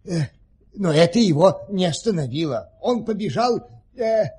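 Flustered, high-pitched fawning marquis. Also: male voice, animated, normal speed, darkish tone, breathy edge. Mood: excited, dithering. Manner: dithering, stammering, effusive. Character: flustered, forgetful, fawning marquis, an eager storyteller. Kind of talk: theatrical radio play acting.

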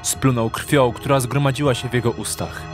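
A man's voice: mid-range voice, steady tone, moderate pace. A man narrating a dramatic audio drama from a script. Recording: clear music behind the narration.

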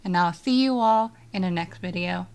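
A young woman talking casually in a reaction video from a small bedroom setup, relaxed and hesitant with many fillers. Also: fairly low pitch, moderate pace, clear, resonant tone.

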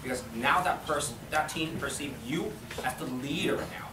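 A man's voice: a little high, normal speed. Upbeat joking man presenter, echoey room. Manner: upbeat, jokey, repeats "go ahead and". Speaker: workshop presenter. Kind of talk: seminar talk and Q&A. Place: small meeting room with audience. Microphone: distant camera mic. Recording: echoey room from far off, background hum.

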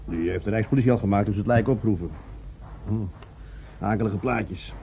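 A man playing an earnest, self-doubting police adjutant in a radio drama, speaking deliberately and deferentially. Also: lowish voice, creaky, warm tone. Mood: uneasy, doubting. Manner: deliberate, hesitant, deferential to his superior. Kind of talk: Dutch radio drama dialogue. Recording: dull radio recording, faint noise.

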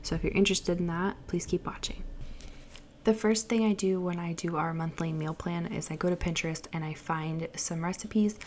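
Young woman vlogger, lowish voice, chatty and casual, light background hiss, home kitchen. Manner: chatty, listing items quickly with fillers. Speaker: lifestyle vlogger. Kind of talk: casual voice-over walkthrough.

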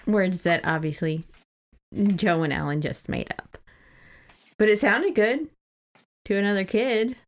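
A woman's voice: medium pitch, unhurried, pauses often. An American woman co-hosting a podcast, talking casually with an irreverent, unfiltered manner. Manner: irreverent, freely swearing. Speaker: podcast co-host. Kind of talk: casual chat and book recap.

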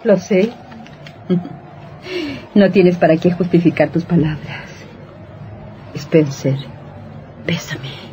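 An actress playing an anguished, jealous wife in a radio horror drama, with an intense, melodramatic delivery. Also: female voice, lowish voice, slow, long pauses, dark tone, highs cut off. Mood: brooding, intense. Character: anguished jealous wife, brooding, unstable, clinging and pleading. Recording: noisy old radio drama recording.

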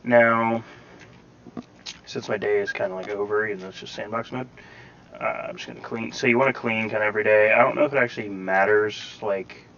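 Low-pitched man, muffled robotic-sounding recording. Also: fairly even intonation, a little fast, creaky, fairly bright tone, a little hiss or hum. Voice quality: robotic, digitally distorted sound. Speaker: gameplay guide host. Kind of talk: casual game tutorial commentary. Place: voice-over over game footage.

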